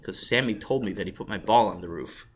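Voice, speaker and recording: A man's voice: on the low side, fast talker, highs cut off, pitched below the performer's own voice, lightly acted. A man voicing Avi, a clumsy, hapless schoolboy who explains his mishaps sheepishly. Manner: sheepish, defensive.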